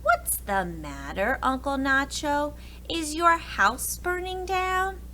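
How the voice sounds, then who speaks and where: A woman plays a cheerful, caring schoolgirl from a storybook. The voice is chirpy and bright, with animated swings in pitch. Faint noise sits in the recording. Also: slow, exaggerated voice. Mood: concerned. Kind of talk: storybook character voice.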